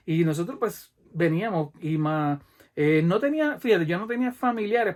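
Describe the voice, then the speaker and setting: Man in his forties, Puerto Rican accent, high-pitched, lively film retelling, mouth-sound gunshots. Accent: Puerto Rican Spanish. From Puerto Rico. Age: 40s. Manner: animated, imitates gunshots and action with mouth sounds. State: sweaty from the heat. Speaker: vlogger reviewing a film. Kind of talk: casual monologue retelling a movie.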